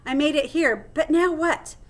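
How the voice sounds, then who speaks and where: Woman voicing a plucky, dramatic little hamster, high-pitched. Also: normal speed, natural voice. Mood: indignant, nervous. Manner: theatrical, earnest, expressive. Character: small plucky dramatic male hamster diarist. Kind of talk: storybook read-aloud in first person.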